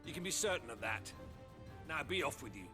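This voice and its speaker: A bitter male townsman played by a man in a dramatic video game. His mid-pitched voice is haughty and sneering, with faint music behind. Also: very animated, brisk, natural voice. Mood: scornful. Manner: sneering, formal, dismissive.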